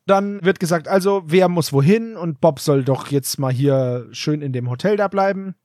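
A man's voice: fairly high pitch, moderate pace, darkish tone, dry recording, clean studio sound. A man co-hosting a podcast, chatting casually and animatedly about an audio drama. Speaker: podcast host.

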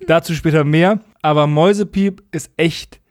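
A man's voice: fairly high pitch, moderate pace, no room sound, studio quality. A man co-hosting a podcast in casual, colloquial talk, giving frank opinions. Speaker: podcast co-host.

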